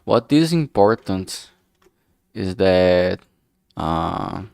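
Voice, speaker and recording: A man with a slightly low but clean, smooth voice talks his way through a solo work stream. He speaks very slowly, rambling and losing his sentences, with frank, casual swearing. The sound is close and dry. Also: very animated, a little mellow, dry recording. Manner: halting, rambling, trailing off mid-sentence. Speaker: solo streamer developing a visual novel.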